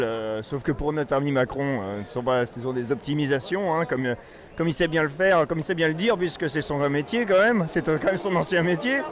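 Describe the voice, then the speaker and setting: A man from Normandy, speaking off the cuff as a protester in a street interview, often searching for his words. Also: on the high side. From Normandy, France. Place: outdoors in a city street at a protest.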